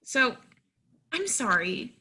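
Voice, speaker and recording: A woman's voice: on the high side, very animated, slow pace, sharp tone, heavily acted. A woman playing a naive, friendly and folksy young woman in a stage play reading. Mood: earnest, puzzled. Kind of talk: readers theatre play reading.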